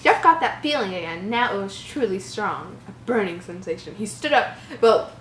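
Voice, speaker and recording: A woman in her early twenties reading a story comedically, animated, with casual mocking commentary. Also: medium pitch, moderate pace. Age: early 20s. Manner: giggly, mocking asides, animated. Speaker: channel host. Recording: roomy echo, faint background noise.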